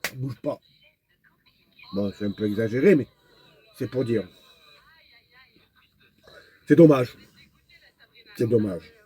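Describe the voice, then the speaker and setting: Man, husky voice, deep mellow tone, casual vlog chat, halting and repetitive. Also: dry recording, low-quality recording. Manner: halting, repeats words while searching for them; talks straight to the viewer. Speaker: nomad vlogger. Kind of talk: casual rambling vlog monologue.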